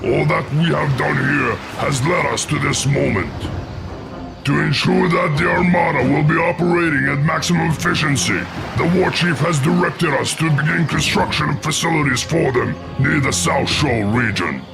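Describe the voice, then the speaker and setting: A man playing a proud, fierce orc war leader in a fantasy game, with gravelly, formal, declamatory delivery. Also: on the high side, moderate pace, low-quality recording. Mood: resolute briefing. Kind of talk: fantasy game cutscene acting. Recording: loud music under the voice.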